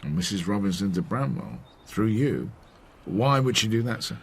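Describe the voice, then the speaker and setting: A reserved London police sergeant in a Victorian radio drama. He speaks in a fairly low but expressive voice, questioning politely in few words, with noise behind him. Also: normal speed, vocal fry. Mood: polite, probing.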